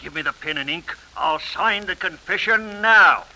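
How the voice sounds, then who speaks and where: An actor playing a bitter London criminal turned informer, in 1930s radio drama style. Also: male voice, high-pitched, normal speed, loud music behind the voice. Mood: eager, vengeful. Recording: scratchy 1930s radio broadcast.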